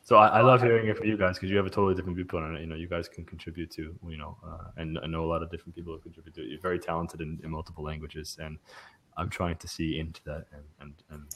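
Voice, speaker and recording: Very deep-voiced male host, eager. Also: little pitch movement, very fast, a bit bright, low-quality recording. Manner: eager, stammering. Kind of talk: casual interview chat.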